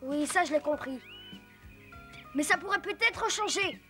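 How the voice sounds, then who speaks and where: A woman's voice: sits high, expressive, measured pace, sharp tone, soft background music, full character voice. A woman voicing an earnest, youthful jungle boy raised by wolves, in a French cartoon dub.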